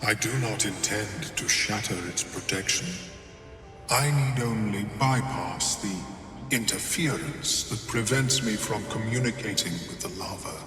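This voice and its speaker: An actor playing a calm, scholarly male mind flayer in a video game, speaking in a precise and learned manner. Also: on the low side, a little slow, faint music behind. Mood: explaining. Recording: echoey reverberant room.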